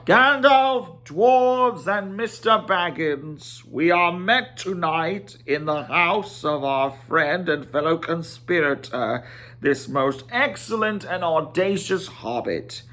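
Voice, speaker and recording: A man's voice: sits high, expressive, takes it slowly, pushed and bright, heavily acted. A man voicing a proud, solemn old dwarf leader who makes pompous, formal speeches in a storybook reading. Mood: grandly solemn.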